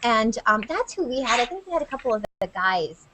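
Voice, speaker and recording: A woman's voice: fairly high pitch, very animated, a little fast, noisy background. A woman hosting a live video-call interview, bubbly and a bit hesitant, with frequent ums. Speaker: host.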